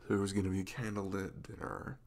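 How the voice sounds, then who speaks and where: A man's voice: sits low, little pitch movement. A man playing a sweet, goofy, flustered young boyfriend in an intimate ASMR roleplay, stammering, apologetic and nervously tender. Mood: sheepish, nervous. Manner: stammering, halting, apologetic, breaking into soft nervous murmurs.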